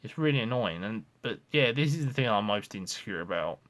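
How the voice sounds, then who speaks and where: A man's voice: lowish voice, lively intonation, fairly quick, a bit bright. Frank young man venting in a vlog. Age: 20s. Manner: frank, rambling, frequent swearing and restarts. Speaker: vlogger. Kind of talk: confessional vlog monologue.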